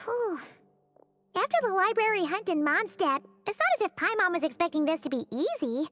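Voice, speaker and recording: A woman voicing a small, childlike fairy companion in a video game, squeaky and chatty. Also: sing-song, normal speed, fairly bright tone, soft background music, heavily acted. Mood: puzzled. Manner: refers to self in third person, chatty.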